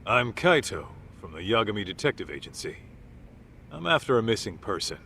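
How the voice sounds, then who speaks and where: A man's voice: sing-song, fairly quick, gravelly, bright, forceful tone, slight background noise, full character voice. An actor playing an easygoing, tough male private detective in a game dub, talking in a casual, cocky, protective way. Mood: breezy questioning. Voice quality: low, sturdy voice. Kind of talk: English dub game cutscene acting.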